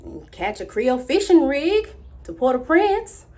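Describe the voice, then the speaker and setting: An imaginative, excitable young girl, acted by a woman with an American accent, tells her story as a theatrical monologue. The voice is high and very animated, moving dramatically between confiding and proud exclamation. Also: a little slow. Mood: dreamy, thrilled. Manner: dramatic, wide swings from hushed confiding to exclaiming.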